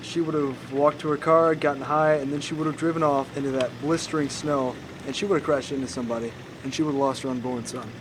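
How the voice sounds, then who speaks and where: A man acting as a gentle, forgiving Christ-like son, with an earnest, patient way of talking in film dialogue. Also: mid-range voice, fairly even intonation, fast talker, few pauses, noisy background, natural voice. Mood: frank.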